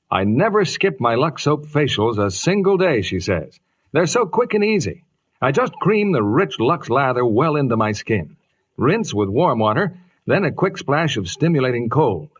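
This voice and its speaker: A man's voice: mid-range voice, slightly rough. A man working as a formal radio announcer in 1950s style, reading scripted copy with a salesman's delivery. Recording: dull vintage radio broadcast, music behind.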